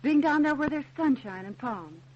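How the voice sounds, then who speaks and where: An actress playing a sweet, teasing young American bride-to-be in an old-time radio drama. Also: female voice, high-pitched, expressive, moderate pace, dull audio, a little hiss or hum. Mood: dreamy, affectionate.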